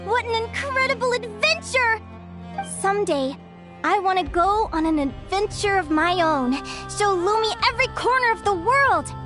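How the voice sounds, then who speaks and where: A woman's voice: very high voice, expressive, normal speed, fairly bright tone. A woman voicing a young, curious bird fae explorer in a video game, talking in an eager, wondering way. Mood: friendly. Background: game music.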